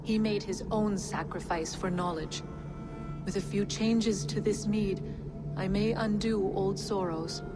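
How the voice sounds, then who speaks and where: An actress playing a grieving, determined mystical widow in a fantasy video game, speaking gravely and deliberately. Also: female voice, mid-range voice, fairly even intonation, over loud music, lightly acted. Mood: solemn. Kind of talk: dramatic video game acting.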